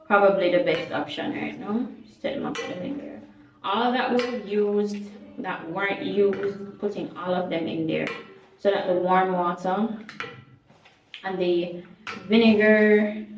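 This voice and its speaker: A young woman with a Jamaican accent, slightly hoarse, rambling through her day in a casual home vlog with many ums and quiet trailing endings. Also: medium pitch, a little hiss or hum. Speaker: vlogger. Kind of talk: casual daily vlog narration. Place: at home, bathroom. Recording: echoey room, voice a bit far from the mic.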